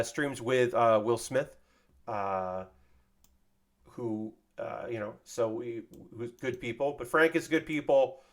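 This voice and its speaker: A man casually chatting on a livestream while building a model kit, loose and profane, tired with a slightly worn voice. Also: mid-range voice. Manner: loose and profane, lots of fillers.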